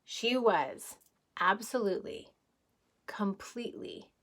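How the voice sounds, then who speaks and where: A woman's voice: medium pitch, takes it slowly. A woman teacher reading a picture book aloud to young children in a playful way, with chatty asides and questions.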